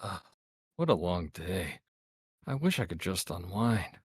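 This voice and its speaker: A man's voice: sits low, sing-song, a little slow, husky, no echo, heavily acted. A man playing a weary, anxious everyman cartoon character, hesitant and fretful, in a comedy table read. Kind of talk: live table read of a comedy radio show.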